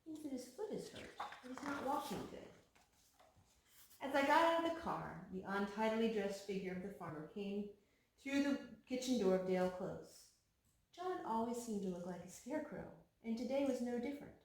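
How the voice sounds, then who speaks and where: A woman reading a picture book aloud to young children, pausing to explain things to them. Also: a little high, expressive. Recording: very echoey room with some noise.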